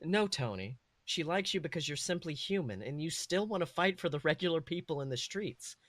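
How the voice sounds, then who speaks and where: A man voicing a poised, kindly woman with a gentle, affectionate manner in a story reading. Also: fairly high pitch, expressive, fairly quick, no echo. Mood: reassuring. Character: poised, kindly, reassuring woman, superhero's fiancée.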